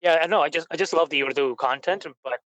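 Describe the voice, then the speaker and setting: A man's voice: fairly high pitch, fast, fairly bright tone. Easygoing male podcast host. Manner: easygoing, agreeable, laughs lightly. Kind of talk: casual interview chat.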